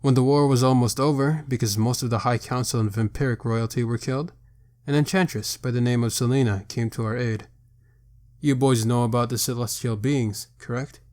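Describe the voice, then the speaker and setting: A man's voice: mid-range voice, steady tone, a little fast, a little mellow, lightly acted. A man voicing a stern vampire patriarch. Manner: commanding, formal, probing. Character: stern old vampire father leading a council. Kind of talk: amateur roleplay voice acting.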